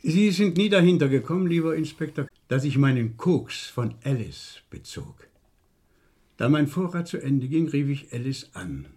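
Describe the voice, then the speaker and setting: An actor playing a shrewd, dryly needling police inspector in a vintage radio crime play, deliberate and falsely casual. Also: male voice, mid-range voice, a little mellow, breathy edge.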